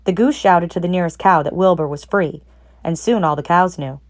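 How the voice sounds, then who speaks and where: Low-pitched woman reading a children's story. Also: moderate pace. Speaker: narrator, read-aloud reader. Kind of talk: expressive children's storybook read-aloud.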